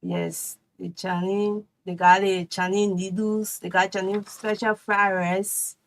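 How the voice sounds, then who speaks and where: Liberian woman, mid pitch, plain steady answers, culinary guest. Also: a little slow. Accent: Liberian English. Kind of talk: casual interview answers.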